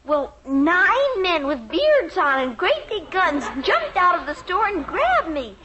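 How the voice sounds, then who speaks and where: A woman's voice: extremely high pitch, big pitch swings, slow pace, muffled recording, full character voice. A woman acting a plaintive, earnest little American girl who fibs, in a 1950s radio sitcom. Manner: plaintive, childlike. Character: little girl, earnest, evasive fibbing daughter. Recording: old radio recording, faint noise.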